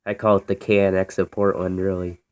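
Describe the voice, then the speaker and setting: A man's voice: deep voice, level tone, fairly quick, darkish tone. An American man giving a casual, unscripted, offhand demonstration as a hobbyist, recorded on a handheld phone. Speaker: radio hobbyist demonstrating a vintage radio. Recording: noisy phone video with clicks.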